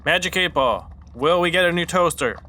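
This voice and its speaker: An actor playing Austin, an odd, deadpan young man with a quirky scheme in a podcast sitcom. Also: fairly high pitch, normal speed, heavy background noise, close to an everyday voice.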